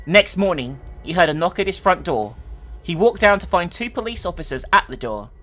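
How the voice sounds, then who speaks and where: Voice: mid-range voice, animated, brisk, fairly bright tone, faint music behind. A person giving a theatrical dramatic reading of an online horror story, with sweary, mocking asides. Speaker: online video host.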